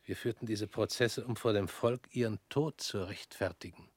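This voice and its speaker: An actor in a radio play voicing a weary former prosecutor, measured and probing, sometimes dropping to a near whisper. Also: male voice, on the low side, husky, dry recording.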